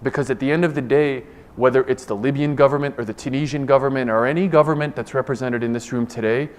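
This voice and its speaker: A man in his forties from Ohio gives a formal presentation in a conference room, speaking earnestly and deliberately. His voice sits at an ordinary middle pitch. He often restarts phrases and repeats words as he works through his points. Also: steady tone. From Ohio, United States. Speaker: government official giving a presentation.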